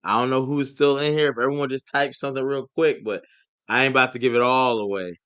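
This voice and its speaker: A man co-hosting a casual podcast who reacts excitedly, his voice jumping up when something surprises him. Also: clean tone. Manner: excitable, quick to react, jumps up in pitch. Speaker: podcast co-host.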